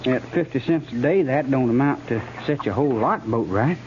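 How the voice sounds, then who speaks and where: An actor in a 1950s radio western playing a plain-spoken, needling cavalry trooper with folksy, ungrammatical talk. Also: male voice, mid-range voice, expressive, moderate pace, close to an everyday voice. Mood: dry. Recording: muffled, noisy 1950s radio recording.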